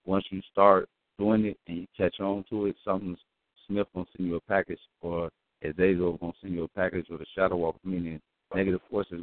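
A man's voice: deep voice, dry recording. A man co-hosting a call-in show, talking in a loose, emphatic way about spiritual ideas. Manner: loose, emphatic street talk. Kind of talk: casual spiritual chat. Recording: dry tinny phone line.

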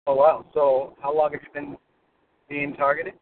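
A man's voice: a little high, completely flat delivery, normal speed. A man calling in from his car while driving to work, rambling with many restarts as he asks his questions. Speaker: caller. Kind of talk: call-in question. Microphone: phone. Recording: phone line with faint noise.

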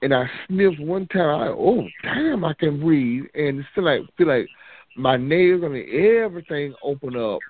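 A man's voice: fairly high pitch, expressive, normal speed. A man, calling in and telling a long rambling story. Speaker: caller. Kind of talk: casual phone chat. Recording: tinny phone line, faint hiss.

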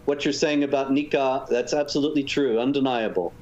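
A man, a guest author, explaining points in a measured way during a talk show interview. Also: mid-range voice, steady tone, a little mellow. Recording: dull lo-fi audio with faint noise.

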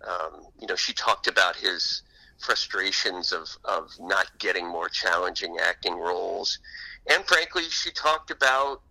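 A man's voice: deep voice, lively intonation, measured pace, vocal fry, pushed and bright, low-quality recording. A man being interviewed as an author, telling anecdotes in a conversational radio style.

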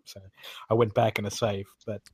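A man's voice: on the low side, level tone, normal speed, darkish tone, slightly rough, dry recording. Man chatting casually, close dry sound. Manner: rambling, searches for names with filler words. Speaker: podcast roundtable panelist. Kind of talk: casual chat, retelling gameplay anecdotes.

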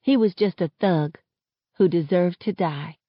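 A woman's voice: fairly low pitch, unhurried, no echo, lo-fi sound. Woman voicing a raw, furious teenage girl. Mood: bitter grief. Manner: raw, impassioned, sweary. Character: grieving, furious teenage girl who tells the story. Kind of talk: first-person audiobook narration.

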